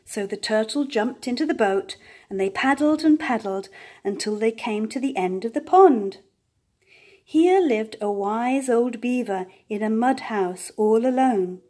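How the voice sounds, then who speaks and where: An older woman reading a children's story aloud as an expressive storyteller. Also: fairly high pitch, unhurried.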